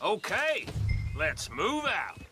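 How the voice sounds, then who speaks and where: An actor playing a rough, touchy, eager bank-robbing outlaw in a western game, blustering in a folksy American Southern accent. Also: male voice, high-pitched, huge pitch swings, slow, sharp tone, heavily acted. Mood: confident. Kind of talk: dramatic video game dialogue.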